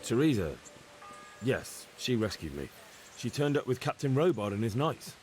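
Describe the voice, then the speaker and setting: A man's voice: mid-range voice, very animated, moderate pace, lightly acted. Man playing young peasant recruit, dutiful, earnest, game dialogue, music in background. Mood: earnest, modest. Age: young adult. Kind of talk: video game voice acting.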